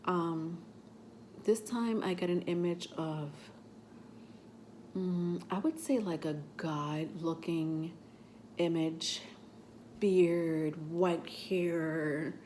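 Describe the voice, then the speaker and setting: A woman's voice: on the low side, fairly even intonation, extremely slow, pauses often, darkish tone, a little hiss or hum. Stuffy-voiced woman rambling on her vlog. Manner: rambling, chatty, many fillers. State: stuffy from a cold. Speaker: tarot reader and channel host. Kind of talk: casual vlog talk to camera.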